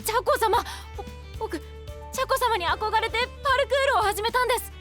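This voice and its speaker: A woman's voice: very high-pitched, a little fast, sharp tone, soft background music. A woman voicing an earnest, eager and bashful young boy athlete in an anime voice drama, polite and apt to stammer. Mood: flustered, starstruck. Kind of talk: Japanese anime voice drama acting.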